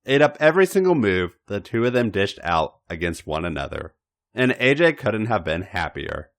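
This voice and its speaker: Deep-voiced man, very animated story reading. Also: normal speed, over a noisy crowd, very noisy. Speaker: podcast host reading fan fiction. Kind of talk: expressive narrated story reading.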